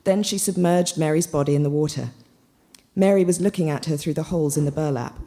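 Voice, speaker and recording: A woman's voice: low voice, brisk, mellow. A woman reading fiction and literary commentary aloud as narration at a literary event.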